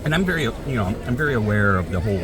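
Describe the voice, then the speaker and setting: A soft-spoken man chatting casually to camera as a vlogger, rambling and laughing softly while kneeling in a crowded outdoor park. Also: on the low side, lively intonation, rapid-fire, rough recording. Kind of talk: casual vlog chat. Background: loud crowd noise and park music.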